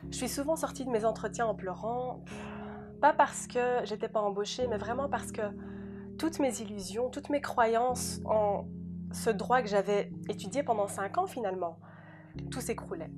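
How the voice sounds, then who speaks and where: A woman's voice: on the high side, moderate pace. A young woman from Belgium whose first language is Dutch, giving an earnest, scripted personal testimony and speaking directly to the listener. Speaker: law graduate giving a testimony. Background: music.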